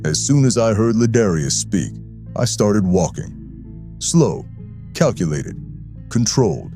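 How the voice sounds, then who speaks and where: An actor playing a stern, controlled chief, narrating an audio drama in a deliberate, hard-boiled manner. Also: male voice, lowish voice, big pitch swings, mellow, faint music behind. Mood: wary, controlled. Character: stern, armed male chief, controlled and unflinching. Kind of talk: first-person audio drama narration and dialogue.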